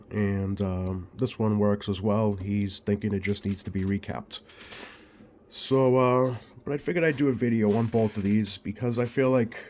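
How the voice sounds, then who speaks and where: A man with a slightly scratchy voice, getting over a cold, talks informally through a repair demonstration in a hesitant, unhurried way, thinking aloud. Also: on the low side, a little mellow. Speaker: radio repair technician and video host. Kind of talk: informal bench demonstration vlog. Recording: clearly audible background noise.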